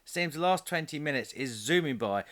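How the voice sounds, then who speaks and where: A male film reviewer narrates with a very lively, swinging pitch. He is sarcastic and exasperated, mixing scripted comic commentary with off-the-cuff asides. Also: moderate pace.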